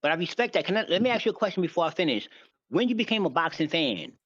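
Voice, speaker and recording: A man's voice: on the high side, big pitch swings, fast talker, a bit bright, no room sound, muffled recording. A man, reassuring and slangy on a podcast panel, repeating his phrases. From Harlem. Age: 50s.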